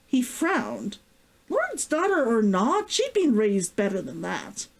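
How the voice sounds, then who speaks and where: A woman with a high-pitched voice and a highly animated delivery. She takes her time as she talks, in the giggly, effusive way of an online host sharing her own writing. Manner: giggly, effusive, drawn-out sighing interjections. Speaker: vtuber host and author. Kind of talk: casual excited chat and reading aloud from own novel.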